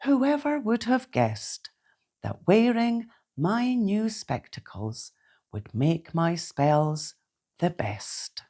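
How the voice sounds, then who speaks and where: A woman's voice: medium pitch, very animated, drawn-out words, dark tone, heavily acted. A woman voicing a young, clumsy, earnest fairy girl in a children's storybook. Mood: delighted. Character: young clumsy anxious female fairy who becomes delighted.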